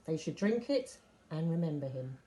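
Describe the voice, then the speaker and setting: A woman reading a Bible story aloud as scripted narration, with expressive delivery of quoted speech. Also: low voice, moderate pace. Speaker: story reader.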